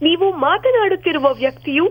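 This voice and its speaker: A woman's voice: very high voice, lively intonation, a little fast. A woman's voice giving a scripted, automated telephone announcement. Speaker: automated call-hold announcer. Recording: dull lo-fi phone line.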